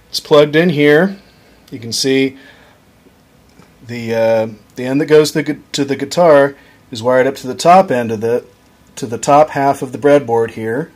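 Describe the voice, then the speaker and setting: A young man explaining a guitar circuit on his workbench, casual and halting, with frequent ums and restarts, recorded on a camera mic. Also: medium pitch, darkish tone. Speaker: electronics hobbyist demonstrating a circuit. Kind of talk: casual off-the-cuff tutorial. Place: workbench at home, indoors.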